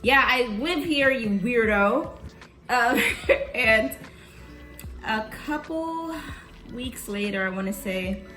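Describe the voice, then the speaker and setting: A woman's voice: on the high side, lively intonation, takes it slowly, long pauses, bright, forceful tone, roomy sound, soft background music. A young woman from the South Bronx, telling a personal story in a casual, candid vlog and swearing now and then. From South Bronx, New York. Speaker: vlogger.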